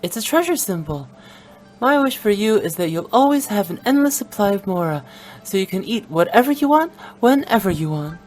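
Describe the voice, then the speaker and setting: A woman's voice: medium pitch, big pitch swings, brisk, a little mellow, close to an everyday voice. A woman voicing a mischievous young woman funeral director in a video game, playful and teasing. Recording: game audio with faint music.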